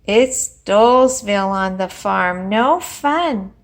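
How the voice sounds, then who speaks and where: A woman's voice: mid-range voice, animated, slow. A woman voicing a glum but decisive horse in a children's storybook, speaking emphatically for the whole farm. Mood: glum, resolved.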